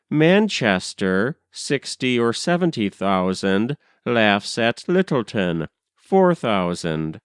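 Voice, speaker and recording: A man reciting literary verse as a reader, with measured, deliberate phrasing and pauses between phrases. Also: medium pitch, clear, resonant tone, no room sound, studio quality. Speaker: poetry reader.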